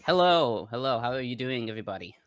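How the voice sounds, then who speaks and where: A man from Israel, a Hebrew speaker, joining a casual panel and speaking haltingly with fillers. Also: medium pitch, animated, fairly bright tone, a little hiss or hum. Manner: halting, with fillers and nervous laughs. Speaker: guest panelist.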